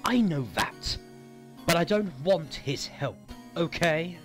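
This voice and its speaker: An actor playing a proud, bitter young prosecutor, condescending and sardonic, in a dramatic fan audio drama. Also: male voice, on the high side, expressive, slow, soft background music.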